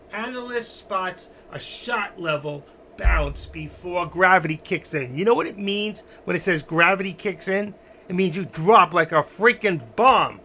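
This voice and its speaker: A man hosting a casual trading livestream, rambling to himself and his chat with a hoarse voice. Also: high voice, bright, forceful tone, slight background noise. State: losing his voice. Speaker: stock trading livestream host. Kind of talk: casual live market commentary.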